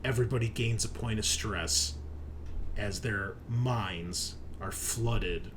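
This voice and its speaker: A man narrating a horror tabletop roleplaying game as game master, dramatic and deliberate in his delivery. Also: lowish voice, noisy background.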